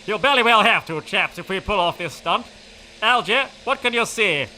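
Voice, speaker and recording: A dashing, brash British flying ace, played by a man in a comic radio play. He talks with breezy upper-class banter. His voice sits high and very bright. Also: animated, normal speed, a little hiss or hum, exaggerated voice, poor audio. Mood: jaunty.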